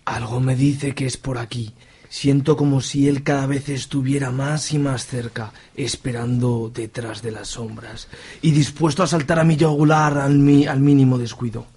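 A man's voice: mid-range voice, steady tone, moderate pace, darkish tone, slightly rough, lightly acted. A man playing a nervous, fearful sidekick in an amateur comic radio soap, jittery and melodramatic.

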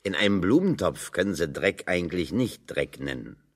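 A man's voice: low voice, sing-song, moderate pace, full character voice. An actor playing a sardonic, dry-witted working man in German radio drama dialogue, speaking colloquially and ironically. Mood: dry, anecdotal.

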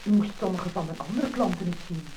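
A woman's voice: fairly low pitch, lively intonation, brisk, warm tone, close to an everyday voice. An actress playing a tactful dressmaker who placates her client, with a Netherlands Dutch accent, in a 1950s scripted drama. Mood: placating. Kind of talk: 1950s scripted radio-style acting. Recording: noisy, crackling mid-century disc recording.

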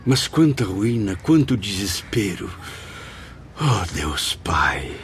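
A compassionate, tormented male spirit in a radio drama. The voice is low, dramatic and full of lament and pleading. Music runs underneath. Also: sing-song, takes it slowly, slight background noise, exaggerated voice. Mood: horrified anguish. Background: background music.

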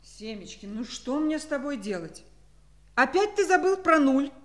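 A woman's voice: sits high, big pitch swings, moderate pace, a bit bright. A woman playing a patient but exasperated schoolteacher in a children's audio play, instructing and reproachful. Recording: faint hiss on old recording.

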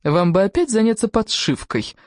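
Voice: medium pitch, sing-song, normal speed, dry recording, clean studio sound, pitched above the performer's own voice, lightly acted. A narrator playing a stern, disdainful workshop forewoman who speaks curtly, coldly and formally. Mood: dry disdain. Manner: curt, cold, formal.

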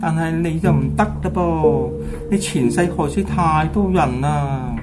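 A woman playing a solemn Taoist master in a radio drama, lecturing with measured authority. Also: low voice, music in the background. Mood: instructing. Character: solemn, knowing Taoist master giving ritual advice. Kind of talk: Cantonese radio drama acting.

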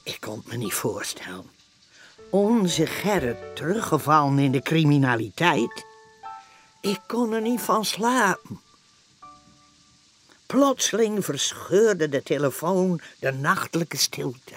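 An actor playing a dismayed, brooding narrator, telling the story in a melodramatic radio play style. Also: male voice, a little high, big pitch swings, slow. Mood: troubled disbelief. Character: dismayed, brooding storyteller recalling a sleepless night. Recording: music under narration.

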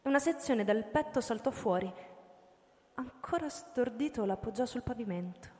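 A woman's voice: on the high side, lively intonation, moderate pace, darkish tone. A woman narrator reading a scripted dramatic story for radio. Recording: reverberant hall, music behind. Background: music.

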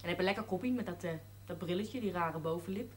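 A woman's voice: on the low side, fast, close to an everyday voice. An actress playing a blunt, chatty young woman looking for work as a maid, in a 1970s TV drama. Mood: cheeky. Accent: Dutch. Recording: old TV soundtrack with faint hiss.

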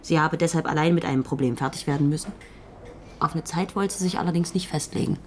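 Actress as a terse, low-voiced policewoman. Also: fast talker, a little hiss or hum, lightly acted. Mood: matter-of-fact. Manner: terse, skeptical, matter-of-fact. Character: terse, skeptical young female police trainee. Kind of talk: naturalistic German radio drama acting.

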